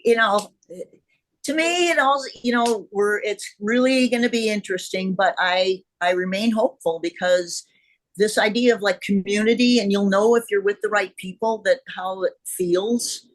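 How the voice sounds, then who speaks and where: A woman's voice: medium pitch, normal speed, low-quality recording. A woman talking in a group discussion, rambling with many fillers in a hopeful tone. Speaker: discussion participant.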